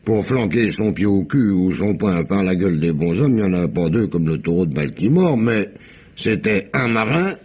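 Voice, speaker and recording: A rough old sea dog in a radio mystery, a little drunk and full of slang. His low, husky voice swoops up and down as he rambles, repeats himself and haltingly spins his yarn. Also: expressive, a little mellow. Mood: boastful, gossipy. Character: Pemberton, rough tipsy old sailor spinning a sea yarn. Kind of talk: 1950s French radio mystery acting.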